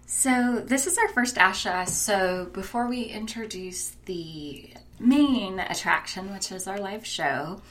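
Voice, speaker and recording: A woman's voice: mid-range voice, slow, few pauses, fairly bright tone. A woman in her thirties co-hosting a casual podcast chat, losing her voice and talking in a chatty way with lots of fillers. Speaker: podcast co-host. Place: rented apartment room.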